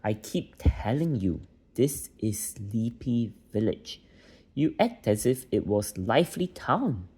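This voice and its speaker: A man's voice: medium pitch, big pitch swings, slow pace, warm tone, husky, exaggerated voice. A man voicing an exasperated, scolding little fairy-folk guide in a children's storybook. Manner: scolding, admonishing.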